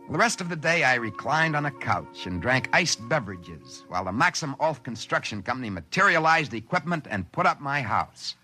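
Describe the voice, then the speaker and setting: An actor playing an excitable ordinary man in a 1950s science fiction radio drama, narrating in a theatrical, wry way. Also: mid-range voice, brisk, hardly any pauses. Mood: musing, pleased. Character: excitable ordinary man narrating his sudden good fortune. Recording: old radio show with music behind.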